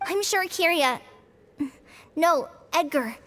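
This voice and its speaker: A woman voicing an earnest, caring and excitable girlish companion in a video game, with emphatic, expressive delivery. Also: very high-pitched, takes it slowly, slight background noise, heavily acted. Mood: concerned, urging.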